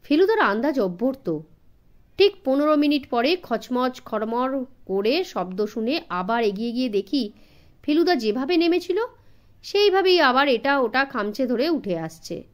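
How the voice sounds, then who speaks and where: Lively, higher-pitched woman storyteller. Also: unhurried. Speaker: audiobook narrator. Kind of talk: expressive scripted story narration for children.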